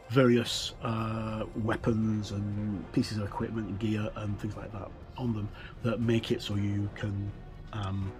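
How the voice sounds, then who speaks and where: A middle-aged man giving an unscripted walkthrough commentary as a game reviewer, in a halting, hesitant way with trailing phrases. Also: fairly low pitch, darkish tone.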